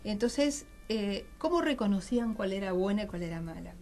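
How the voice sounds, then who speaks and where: A middle-aged Argentine woman speaking as a guest in a conversational radio interview, explaining things and often pausing to search for words. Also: medium pitch, expressive, darkish tone, slight background noise. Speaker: guest, writer and journalist.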